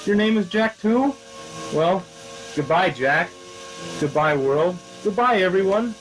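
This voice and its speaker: A man playing a dim, earnestly melodramatic action hero with a deadpan, obtuse manner in a comic radio play. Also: sits high, unhurried, slight background noise, poor audio. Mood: melodramatic, resigned.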